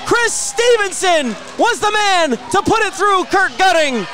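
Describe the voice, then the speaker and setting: High-pitched man calling live hockey play-by-play. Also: crowd noise. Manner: rapid-fire descriptive calls with excited surges at big plays. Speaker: play-by-play radio commentator. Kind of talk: live ice hockey commentary.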